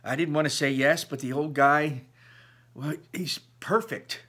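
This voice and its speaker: A man's voice: fairly high pitch, steady tone, unhurried, sharp tone, breathy edge, voice pushed up, exaggerated voice. A man voicing an upset, defensive teenage girl from a storybook, arguing her side with her parents.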